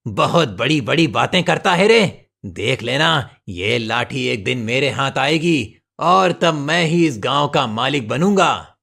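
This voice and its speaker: A man's voice: mid-range voice, lively intonation, slow pace, vocal fry, fairly bright tone, exaggerated voice. A man playing a greedy, cunning village villain in a cartoon moral story, taunting and domineering. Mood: scornful, scheming.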